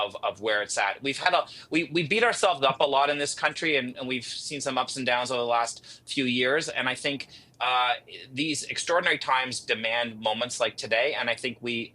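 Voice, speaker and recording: A man with a Canadian accent giving live political commentary, halting and repeating words as he searches for phrasing. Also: mid-range voice, sharp tone.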